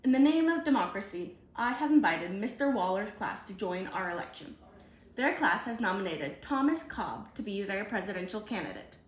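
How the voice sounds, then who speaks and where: Female storybook schoolteacher, put on by a woman reader: encouraging, orderly, explanatory, very varied pitch, roomy echo. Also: animated, moderate pace, natural voice. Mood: announcing.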